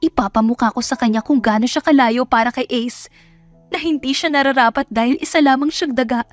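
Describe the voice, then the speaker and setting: A woman's voice: high voice, fairly quick, no echo, faint music behind, pitched above the performer's own voice, close to an everyday voice. A woman voicing a scornful, jealous young rich woman in an audio romance drama, indignant and haughty as she spits out her words. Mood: vengeful, scheming. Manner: indignant, haughty, spitting insults.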